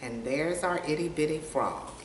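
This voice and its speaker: A woman's voice: deep voice, normal speed. An older woman reading a children's picture book aloud, pointing out pictures and putting questions to young listeners. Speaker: storytime reader. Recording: roomy, distant mic, faint noise.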